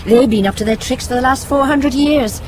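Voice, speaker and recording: An actress playing a shrewd, plain-spoken Irish aunt in a radio drama, wry and down-to-earth. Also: female voice, high-pitched, brisk, background noise, natural voice.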